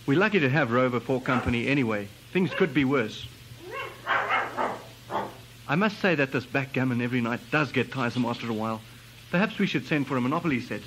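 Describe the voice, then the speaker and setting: An actor playing a mild, placid brother in a comic radio melodrama, with a homely, conciliatory way of talking. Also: male voice, mid-range voice, animated, brisk, long pauses, noisy background, close to an everyday voice. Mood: cheerful.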